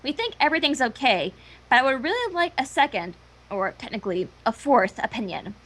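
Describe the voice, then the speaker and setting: A woman's voice: high voice, big pitch swings, brisk, a bit bright, heavily acted. A woman voicing an earnest, studious young female pony who is politely reading out a formal letter to her teacher.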